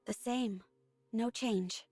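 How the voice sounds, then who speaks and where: A woman's voice: a little high, slow, a little mellow, no room sound. A woman voicing a subdued, earnest, remorseful female android soldier in a dramatic video game scene. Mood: reserved. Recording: music behind the voice.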